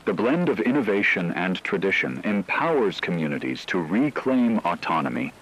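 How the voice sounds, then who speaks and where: A man playing a formal, measured radio news anchor reading scripted reports in a solemn manner. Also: lowish voice, sing-song, a bit bright, breathy edge, heavily acted, rough recording. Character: grave male radio news anchor in a dystopian broadcast.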